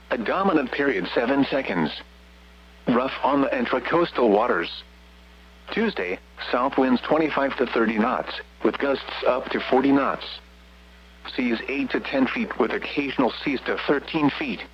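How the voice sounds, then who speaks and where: An American man's synthetic voice reading a scripted weather radio bulletin in a flat, measured way. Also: mid-range voice. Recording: muffled, noisy weather radio broadcast with hum.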